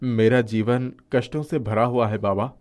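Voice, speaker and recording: A man voicing a poor, kind-hearted husband in a cartoon moral story, speaking earnestly and gently. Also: medium pitch, fairly even intonation, moderate pace, lightly acted. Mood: troubled. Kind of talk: animated moral story acting.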